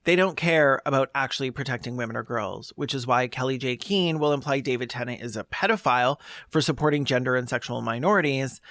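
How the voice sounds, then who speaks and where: A man's voice: mid-range voice, a bit bright, dry recording, studio quality. A man delivering scripted, opinionated commentary as a video essayist, with a sarcastic, scornful manner.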